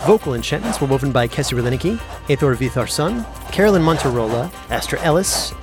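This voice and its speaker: A woman acting as an easygoing, slightly flustered scholarly traveller in a fantasy audio drama, with a hesitant, halting delivery. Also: low-pitched, lively intonation, music in the background. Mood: reciting notes.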